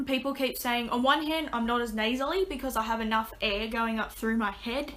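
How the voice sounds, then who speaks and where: A young woman speaks into a desk webcam in a small bedroom, rambling through a casual update. Her voice sits a little high and sounds bright and forward, with a touch of rasp. She trails into ums and restarts her sentences often. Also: fairly quick. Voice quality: slightly raspy. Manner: chatty, many ums and restarts. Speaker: vlogger.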